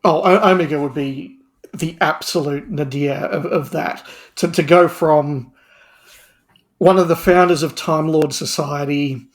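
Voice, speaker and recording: A man's voice: a little high, measured pace. Emphatic man in panel discussion. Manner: emphatic, repeats words and phrases for emphasis. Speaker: podcast guest. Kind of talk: animated opinionated panel discussion.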